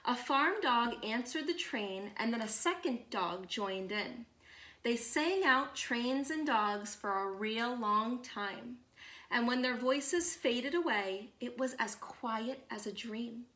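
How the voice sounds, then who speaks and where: Slow, higher-voiced woman reading a storybook. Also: echoey room. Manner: measured, expressive storytelling with deliberate pauses. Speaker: read-aloud storyteller. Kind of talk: children's picture book read-aloud.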